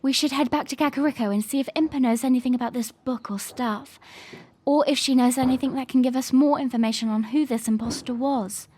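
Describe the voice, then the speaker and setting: A woman's voice: high voice, a little fast, a little mellow, slight background noise, natural voice. A woman playing an earnest, dutiful young princess, serious and prim, in an audio drama. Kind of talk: fan audio drama acting.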